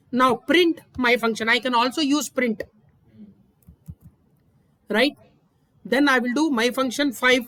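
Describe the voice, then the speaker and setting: A woman's voice: on the high side, no echo. A woman teaching a recorded programming lesson, explaining each step and often checking in with "right?". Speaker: instructor. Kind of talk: screen-recorded coding lesson.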